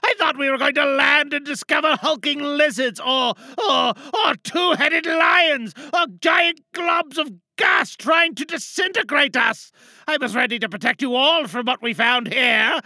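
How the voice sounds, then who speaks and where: A stern female space captain played by an amateur actress, gripped by paranoia. Her high voice is piercing and forceful as she commands and pleads in slow, weighty phrases, like a desperate leader in an audio drama monologue. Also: no echo, heavily acted. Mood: desperate, pleading. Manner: pleading, commanding, theatrical outbursts.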